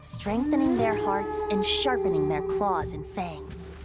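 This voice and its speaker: A woman's voice: high-pitched, huge pitch swings, a little slow, heavily acted. Poised teasing lady, bouncy sing-song delivery, light music under. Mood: wry. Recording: game audio with music behind.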